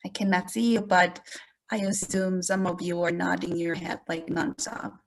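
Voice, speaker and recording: A woman from South Korea with a Korean accent presents a prepared webinar talk as an English language educator, speaking deliberately and addressing her audience directly. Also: lowish voice, fairly even intonation, a little hiss or hum, low-quality recording.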